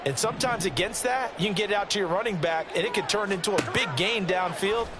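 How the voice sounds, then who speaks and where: A man's voice: sits high, quick pace, over loud music, natural voice. A man playing a folksy American color commentator in a football video game, explaining plays in a conversational, emphatic way. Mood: admiring, emphatic. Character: folksy, admiring male football analyst in the booth.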